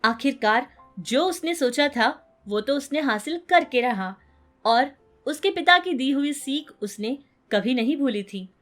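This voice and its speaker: Lady reading a moral story, really high voice, music faintly behind her. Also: a bit bright. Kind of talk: scripted moral-story narration.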